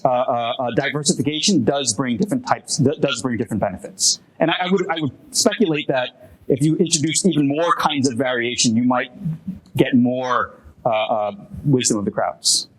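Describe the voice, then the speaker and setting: A man's voice: mid-range voice, moderate pace, rough recording. Hesitant man answering questions at a workshop. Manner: hesitant, many restarts and fillers. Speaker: presenter answering audience questions. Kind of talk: academic Q&A at a workshop. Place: conference room.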